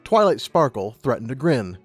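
A man's voice: mid-range voice, sing-song, moderate pace, husky, no echo, soft background music. A man reading scripted fiction as an audiobook narrator.

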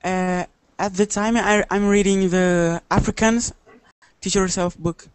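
A man's voice: high-pitched, little pitch movement, unhurried, a little hiss or hum. Brazilian man giving short, hesitant answers. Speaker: student. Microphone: video call.

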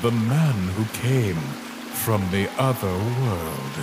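A man's voice: fairly low pitch, animated, loud music behind the voice. A man voicing a mysterious hooded river spirit in a comic dub, cryptic and eerie. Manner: cryptic, very drawn-out. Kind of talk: fan comic dub acting.

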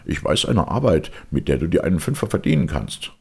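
A businesslike storybook gardener, read by a man, bargains briskly. His voice sits a little high, with some creak. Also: very animated, a little mellow, heavily acted. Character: businesslike male gardener selling milk.

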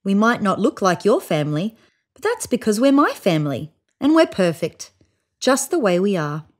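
A woman in her thirties reading a children's picture book aloud in an expressive, child-directed way. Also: medium pitch, moderate pace. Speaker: storybook reader. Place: small room indoors, seated on a couch.

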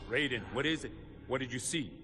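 An actor playing a stoic, loyal spiritual warrior in a video game, speaking in a grave, formal, measured way. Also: male voice, medium pitch, loud music behind the voice, close to an everyday voice. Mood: concerned. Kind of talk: video game cutscene acting.

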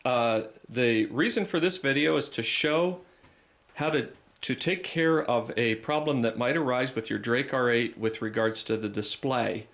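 A man giving an informal, methodical hands-on repair tutorial, speaking haltingly and repeating phrases as he goes. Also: medium pitch, a bit bright.

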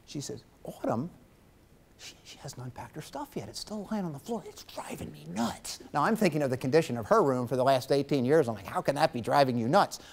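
A man in his fifties giving a lively guest lecture to college students in a classroom, teasing his audience as he talks into a lapel mic. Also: on the high side, fairly quick, gravelly, slight background noise. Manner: animated, gestures widely, teasing the audience.

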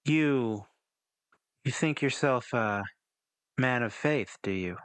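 A man voicing an enigmatic, quietly menacing figure with slow, measured, cryptic speech in a tabletop roleplay. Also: medium pitch. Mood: taunting. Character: enigmatic, menacing man cloaked in smoke, calmly goading.

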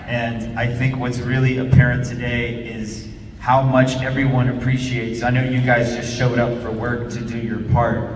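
A man's voice: mid-range voice, completely flat delivery, moderate pace, noisy background. A man moderating a live panel, addressing the audience with emphatic, repeated build-up. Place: large indoor panel hall. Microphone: stage microphone heard from audience camera. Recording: echoey hall PA from far off.

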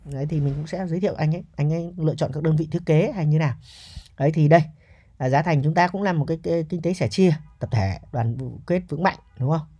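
Fast-talking man presenting a property. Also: a little high, warm tone, breathy edge, lo-fi sound. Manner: chatty, often tags statements with a checking question. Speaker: real estate agent presenting a property. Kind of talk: casual property walkthrough commentary.

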